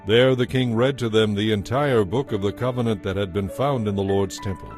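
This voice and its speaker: A man narrating scripture from a script in a measured, formal storytelling manner. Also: on the low side, music in the background.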